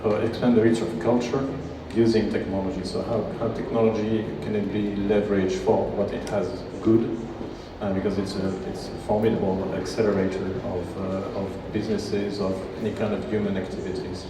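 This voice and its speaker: A man answering at a press conference as an executive, halting and full of fillers. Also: on the low side, level tone. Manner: halting, many fillers and restarts. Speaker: nonprofit executive. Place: large historic hall. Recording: echoey hall, distant mic, background noise.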